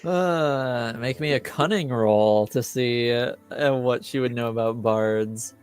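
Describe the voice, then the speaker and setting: A man running a tabletop roleplaying game as game master, explaining rules and lore in a hesitant, halting way. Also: mid-range voice, smooth. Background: music behind the voice.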